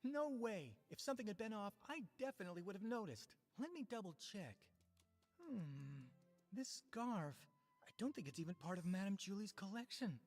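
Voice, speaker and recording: A woman's voice: medium pitch, lively intonation, normal speed, darkish tone, big-room sound, soft background music. A woman voicing a dutiful, eager estate guard in a video game. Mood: defensive, earnest.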